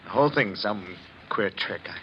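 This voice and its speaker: An actor playing a skeptical, impatient husband in a 1940s radio mystery, brusque and reassuring, with a stammer when rattled. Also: male voice, fairly low pitch, animated, moderate pace, natural voice. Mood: dismissive. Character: skeptical impatient husband dismissing talk of curses. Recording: muffled, noisy 1940s radio recording.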